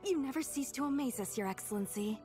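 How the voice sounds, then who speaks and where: Loyal game assistant, formal and deferential, fairly high voice, faint music behind. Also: female voice, animated, quick pace. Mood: admiring. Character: respectful, efficient female aide to a leader. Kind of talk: video game character acting.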